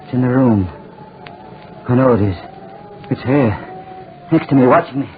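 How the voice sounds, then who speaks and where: A haunted, paranoid old man played by a male actor pleads in fraught, melodramatic radio style. His voice sits at a middling pitch, heard through a thin, tinny vintage recording with faint hiss. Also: moderate pace, natural voice. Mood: frantic, terrified. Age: older. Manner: fraught, melodramatic, repeats phrases. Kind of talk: 1940s radio acting. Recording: scratchy, noisy, tinny 1940s radio recording.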